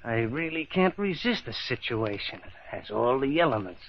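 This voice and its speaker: Suave, menacing husband, old radio. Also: male voice, mid-range voice, big pitch swings, a little hiss or hum. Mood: coolly menacing. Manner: measured, formal, suave. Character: cold, smooth, menacing husband plotting murder. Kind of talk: old-time radio mystery acting. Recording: dull lo-fi old radio recording.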